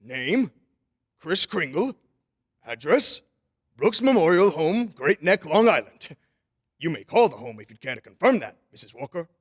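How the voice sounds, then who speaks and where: An actor playing a kindly, sincere elderly Santa Claus in an old-time radio drama, husky and courteous. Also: male voice, a little high, big pitch swings, unhurried, pauses often, full character voice. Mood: earnest, courteous. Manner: gentle, earnest, courteous.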